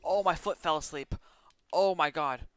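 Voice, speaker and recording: A man's voice: on the high side, moderate pace, fairly bright tone, smooth, no room sound. A man giving casual, offhand commentary while playing a video game.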